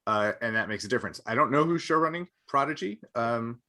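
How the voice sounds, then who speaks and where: Male podcast panelist, bright and forceful timbre, average pitch, explanatory but halting. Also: lively intonation. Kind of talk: casual opinionated discussion.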